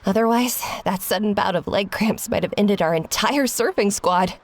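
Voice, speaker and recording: A woman's voice: medium pitch, normal speed, a little hiss or hum, lightly acted. A woman voicing a friendly young female surfer who thanks her rescuers in a game cutscene. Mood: grateful. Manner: grateful, friendly.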